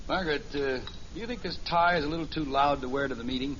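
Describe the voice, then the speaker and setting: A man's voice: on the high side, fast talker, a bit bright, natural voice. An actor playing a wry, teasing middle-aged father in a 1950s radio family sitcom. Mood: proud, hesitant. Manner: dry, teasing exaggeration. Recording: muffled, noisy old radio recording.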